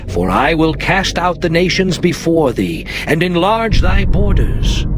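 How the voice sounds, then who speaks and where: Moses, solemn prophet. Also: male voice, a little high, background noise. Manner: solemn, measured declamation. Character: Moses, stern aged male prophet. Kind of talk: dramatized scripture reading. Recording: music playing underneath.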